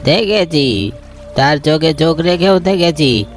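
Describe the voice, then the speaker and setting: A man's voice: fairly high pitch, animated, takes it slowly, soft background music, voice pushed up. A man voicing a grave old village boatman who gives ominous warnings in a storybook ghost tale. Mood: stern, ominous. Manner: ominous, admonishing.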